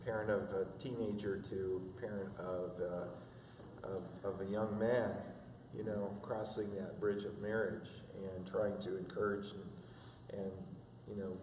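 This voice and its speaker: A man in his fifties, a father, reflecting in a halting, filler-heavy way. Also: on the low side, fairly even intonation, noisy background. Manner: halting, many fillers and restarts. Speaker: interview guest, father. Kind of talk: reflective conversational interview. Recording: echoey room from a distance.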